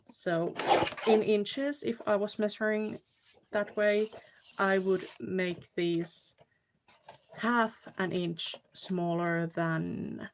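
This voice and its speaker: A woman from Finland with a clear Finnish accent talks to her viewers at an unhurried pace while she crafts, often pausing to search for the right English word. A faint hiss sits under her voice, and tool clicks come through now and then. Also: mid-range voice, steady tone. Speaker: card-making streamer. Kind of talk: casual live crafting chat. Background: clicking crafting tools.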